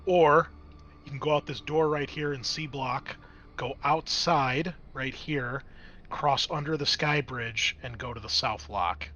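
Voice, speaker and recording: Man, bright voice, game master, conversational, explanatory, casual tabletop narration. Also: medium pitch, unhurried, muffled recording.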